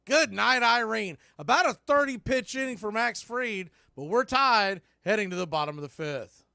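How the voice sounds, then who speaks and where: A man's voice: high voice, expressive, normal speed, vocal fry, bright, forceful tone, no room sound, clean studio sound. A man doing conversational, folksy live play-by-play baseball commentary.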